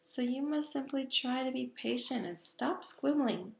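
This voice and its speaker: A woman voicing a very old, kindly male giant who talks slowly and loudly with jumbled grammar, in an echoey room. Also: lively intonation, gravelly, exaggerated voice. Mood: wistful. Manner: slow booming delivery with jumbled grammar.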